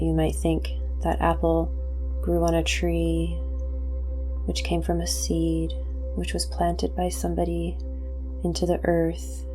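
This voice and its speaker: A woman hosting a guided meditation, introducing it as spiritual talk in deliberate, measured phrases. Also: fairly low pitch, dark tone.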